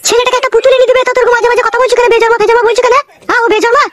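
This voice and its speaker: Cartoon village mum, doting and naggy, played by a woman, extremely high pitched-up voice, rattling speed. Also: flat delivery, racing, a bit bright, dry recording, clean studio sound. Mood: scolding. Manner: coaxing, plaintive. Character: doting, scolding village mother of a small boy. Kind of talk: comedy cartoon dubbing.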